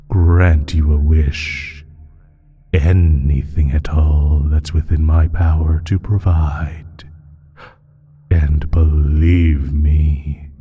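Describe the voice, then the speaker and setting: A man playing an ancient, sly, evil creature in an audio roleplay, teasing and taunting with drawn-out pauses in a husky, half-whispered voice. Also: rumbling low, phone-quality sound, full character voice. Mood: coaxing, sly. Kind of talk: scripted audio roleplay monologue.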